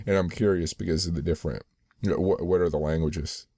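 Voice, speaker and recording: A man's voice: deep voice, steady tone, fast talker, dark tone, dry recording. A man hosting an interview, asking long rambling questions and saying hmm often. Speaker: interviewer host. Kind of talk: interview conversation.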